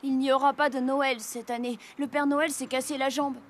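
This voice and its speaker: A woman's voice: high voice, level tone, normal speed, close to an everyday voice. A woman voicing an earnest, conscientious young boy who is an apprentice Santa in a cartoon. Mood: discouraged. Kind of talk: French cartoon dubbing. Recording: music behind the voice.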